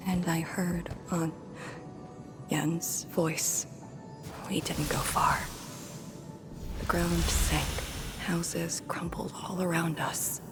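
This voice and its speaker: A woman voicing a grieving, haunted character in a video game, speaking in a halting, hushed and breathy way. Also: sits low. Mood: somber. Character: grieving woman revisiting her lost childhood home, wistful, haunted. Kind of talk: dramatic video game voice acting. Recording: game music behind the voice.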